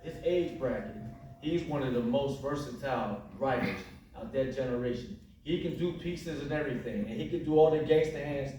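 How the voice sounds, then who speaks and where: Enthusiastic man in a booming echoey hall. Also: moderate pace, mellow, recorded from a distance, slight background noise. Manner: enthusiastic, praising, slangy. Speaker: panelist. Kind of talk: casual panel talk. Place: large library event room.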